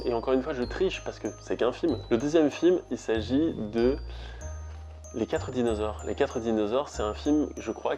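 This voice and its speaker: A man's voice: mid-range voice, mellow. Chatty young French man vlogging. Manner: chatty, rambling with frequent "euh". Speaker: YouTube host. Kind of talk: casual vlog talk to viewers.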